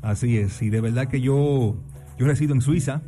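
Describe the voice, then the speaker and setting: A man's voice: mid-range voice, moderate pace, over music. A man in his thirties from Switzerland with a Dominican accent, an earnest, upbeat guest musician answering in a radio studio interview. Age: 30s. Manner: earnest, upbeat, repeats affirmations.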